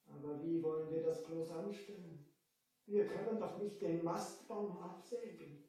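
An actor playing a shrewd, musing and commanding wizard in a 1970s German audio play. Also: male voice, high voice, mellow. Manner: deliberate, musing, then commanding. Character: shrewd wizard, pondering aloud and giving orders. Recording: strong echo, distant from the mic.

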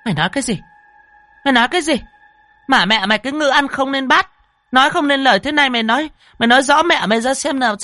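A man voicing a shrill, panicked rich old woman who scolds a servant and screams for her drowned husband. She speaks fast in a very high voice. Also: fairly bright tone, no room sound, soft background music. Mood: furious shrill. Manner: screeching, scolding.

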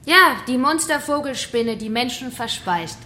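A woman's voice: high voice, animated, unhurried, pushed and bright, slight background noise, heavily acted. An actress playing a menacing female kidnapper in a radio crime drama, taunting her captive in a controlled way. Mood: mocking. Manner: taunting, controlled, familiar address.

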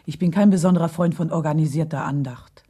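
A woman's voice: sits low, fast talker, warm tone, breathy edge. A woman playing a self-possessed, sceptical young woman in a German radio drama, cool and dry.

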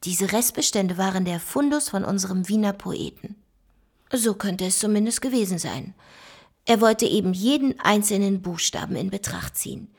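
Woman code investigator, radio play, creaky husky voice, deliberate, analytical, explanatory. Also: mid-range voice. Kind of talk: German radio play dialogue.

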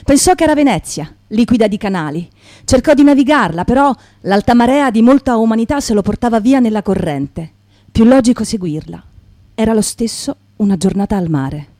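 A woman performer reads literary prose dramatically, radio theatre style. Her timbre is mellow and warm, pitched a bit high. The sound is very dry and close, with no room on it. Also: expressive, brisk, dry recording. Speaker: stage performer.

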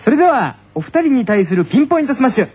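A woman's voice: fairly high pitch, very animated, very fast, husky. A woman co-hosting a radio variety show, introducing and running a segment. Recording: muffled lo-fi radio audio. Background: music.

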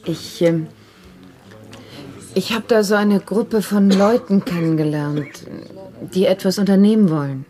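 An actress playing a determined, idealistic adult daughter in a 1970s radio drama. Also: female voice, mid-range voice, unhurried, mellow, close to an everyday voice. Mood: earnest, urgent. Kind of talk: 1970s German radio play acting.

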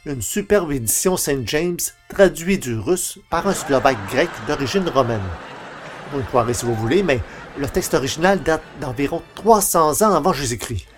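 A man's voice: fairly high pitch, animated, moderate pace, soft background music, lightly acted. A man playing an eager, pushy novice bookshop clerk with glib sales patter, in the style of old radio comedy.

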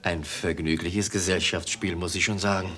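An actor playing an affable, unsuspecting travelling salesman in his forties in a German radio play, with a husky voice and a bluff, easygoing manner. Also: deep voice, steady tone, moderate pace, fairly bright tone. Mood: amused, affable. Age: 40s. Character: affable, naive forty-year-old travelling salesman, amused, unsuspecting.

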